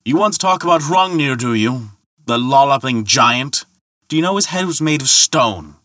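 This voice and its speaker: A man voicing a booming, hot-tempered and credulous thunder god in a mythological tale, bellowing and boasting indignantly. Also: expressive, moderate pace, fairly bright tone, dry recording, studio quality, lightly acted. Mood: scornful. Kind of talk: dramatic mythological storytelling.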